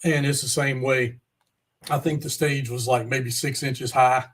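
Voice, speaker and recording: Male podcast host, medium pitch, informal and conversational, loose slangy phrasing with occasional mild cursing. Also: steady tone, unhurried, a bit bright, husky. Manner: informal, mild swearing, y'all. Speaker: podcast host interviewing a musician. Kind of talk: casual chat.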